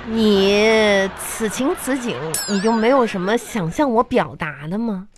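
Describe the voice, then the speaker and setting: An actress playing a demanding, sulky wife with romantic pretensions in a radio comedy, nagging theatrically. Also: female voice, mid-range voice, unhurried, lightly acted. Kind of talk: live comedy radio drama acting.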